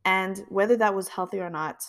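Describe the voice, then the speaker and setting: A woman's voice: mid-range voice, fairly quick. A woman of about thirty hosting a podcast, speaking in an earnest, introspective monologue. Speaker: podcast host. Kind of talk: reflective personal monologue.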